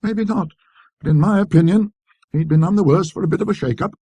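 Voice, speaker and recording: A man's voice: sits high, fairly quick, warm tone, slightly rough, dry recording, pitched above the performer's own voice. A man voicing a tart, opinionated shopkeeper's wife who is plain-spoken and disapproving, in a storybook reading. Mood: tart, relieved.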